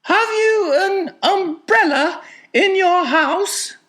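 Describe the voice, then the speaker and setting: Super high little toy bear for a kids story, slow n earnest, gentle. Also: male voice, animated, heavily acted. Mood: earnest, hopeful. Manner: slow, deliberate, ponderous delivery. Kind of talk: dramatized children's storybook reading.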